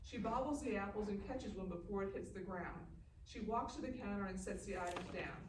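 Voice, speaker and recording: A woman's voice: medium pitch, brisk, distant mic, noisy background. Woman reading stage directions, echoey live room. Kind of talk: scripted narration at a live table read. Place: live festival event room.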